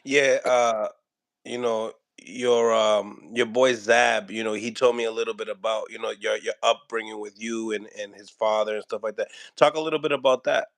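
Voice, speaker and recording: A man's voice: on the low side, fairly even intonation, moderate pace, a bit bright, no room sound. A man interviewing a boxing trainer, asking loose, hesitant questions full of fillers. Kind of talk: casual interview.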